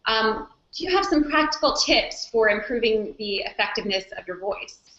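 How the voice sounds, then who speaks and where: A woman interviewer asks brisk, friendly questions. Her voice is bright and a little high. Her audio is thin and echoey, as heard over a video call. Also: animated, muffled recording. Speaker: interviewer hosting a hangout.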